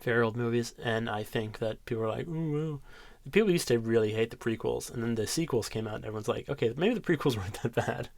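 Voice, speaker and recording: A young man in his twenties rambles happily about films he loves, laughing often and cursing casually. His voice sits at a middle pitch. The recording is dry and close, with no room sound. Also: fast talker, gravelly, darkish tone, no room sound. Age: 20s. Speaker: ASMR creator. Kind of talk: casual movie chat.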